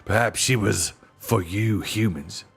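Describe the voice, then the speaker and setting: A man's voice: lowish voice, unhurried, creaky, husky, faint music behind, full character voice. A man voicing a bitter, quarrelsome male dwarf in a storybook, with a sneering, combative delivery. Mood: cold defiance.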